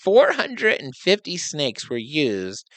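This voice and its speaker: A man's voice: a little high, wildly swooping pitch, takes it slowly, fairly bright tone, dry recording. A man from Tennessee co-hosting a casual movie review podcast, chatty and stumbling over his words. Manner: chatty, restarts often, laughs along. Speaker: podcast co-host.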